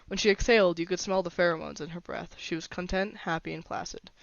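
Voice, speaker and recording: A woman's voice: on the low side, steady tone, fast talker, vocal fry, no echo. A woman reading a fan fiction story aloud as scripted narration, on a slightly dull recording.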